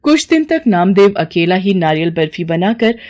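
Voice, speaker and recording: A woman's voice: fairly low pitch, very animated, normal speed, darkish tone. A woman narrating a scripted moral story as a storyteller.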